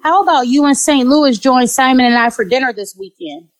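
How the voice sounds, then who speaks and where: An actress playing a sweetly sociable, scheming neighbour in an audio drama. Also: female voice, high voice, expressive, fairly quick, no echo. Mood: sweetly hospitable. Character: scheming, sugary-sweet pregnant woman neighbour and friend.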